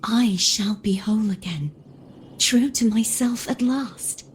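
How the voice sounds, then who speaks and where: Actress as slow, soft-spoken doomed young woman. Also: medium pitch, slight background noise, close to an everyday voice. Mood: sorrowful, at peace. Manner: solemn, deliberate, wistful. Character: gentle doomed young woman, resigned and self-sacrificing. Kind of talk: dramatic video game voice acting.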